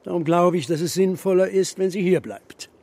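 An actor playing a gruff, stubborn old shepherd grandfather who speaks tersely and bluntly in a children's radio play. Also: sits high, normal speed, dark tone. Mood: defiant, dry. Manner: terse, blunt, answers with counter-questions.

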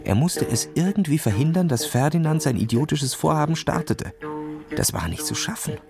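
A man's voice: a little high, lively intonation, normal speed, vocal fry, over music. A man narrating a scripted children's story in a dramatic style.

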